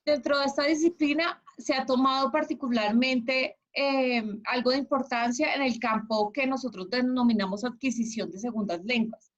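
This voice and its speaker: Female teacher, Colombian accent, fairly high voice, encouraging, interactive lecture over slightly dull online class audio. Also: moderate pace. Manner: encouraging, checks understanding with questions. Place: online video class with slides.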